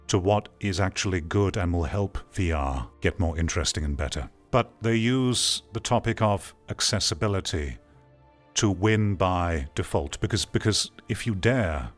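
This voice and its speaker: A man's voice: deep voice, animated, measured pace, faint music behind. A man giving a blunt, emphatic opinion monologue as an online host, repeating phrases for stress. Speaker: host, online commentator and streamer.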